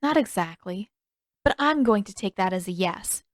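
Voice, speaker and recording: A woman's voice: mid-range voice, expressive, moderate pace, no room sound, clean studio sound, close to an everyday voice. A woman voicing a perceptive teenage girl who talks in a candid, lilting, playful way. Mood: frank, probing.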